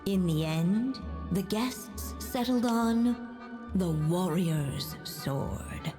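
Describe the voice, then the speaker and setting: A gentle storybook narrator and witch, with a fairly low voice and brisk, flowing delivery. Clear music plays behind the measured fairy-tale telling. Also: lively intonation. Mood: storytelling. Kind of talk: scripted storybook narration in a video game.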